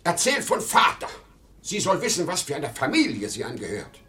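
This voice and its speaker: An actor playing a boastful but insecure aging travelling salesman in a 1950s German radio drama, excitable and halting. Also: fairly high pitch, breathy edge, full character voice. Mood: excited, admiring. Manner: excitable, halting, swinging between bluster and self-doubt. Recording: muffled 1950 radio play recording.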